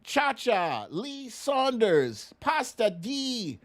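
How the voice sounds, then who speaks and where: Unhurried American livestream host. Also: medium pitch, big pitch swings. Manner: playful, self-deprecating, chuckling. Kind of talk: casual livestream chat with a sing-and-guess song game, reading out viewer names.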